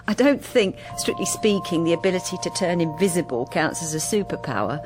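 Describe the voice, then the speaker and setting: A woman acting in a radio drama as a middle-aged English wife, wistful and quietly defiant, halting and often dropping to a whisper. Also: on the low side, lively intonation, music in the background, close to an everyday voice. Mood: playful, bright. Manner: halting, repeats phrases, often drops to a whisper. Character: weary long-married wife newly wistful and quietly defiant. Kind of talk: radio drama acting, intimate dialogue and narration.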